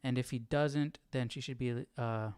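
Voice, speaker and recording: Smooth, dry-sounding man thinking out loud. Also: medium pitch, steady tone, normal speed, dry recording. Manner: musing, hesitant, self-questioning. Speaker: livestream Bible study host. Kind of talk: informal reading aloud and thinking out loud.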